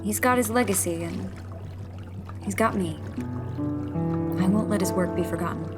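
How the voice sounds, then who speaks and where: Woman as a rebel engineer, earnest, in charge, mid-pitched voice, loud music behind, sci-fi audio drama. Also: normal speed, close to an everyday voice. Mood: warm. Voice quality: earnest, takes charge, explains plans. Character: determined young female rebel engineer. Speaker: rebel leader.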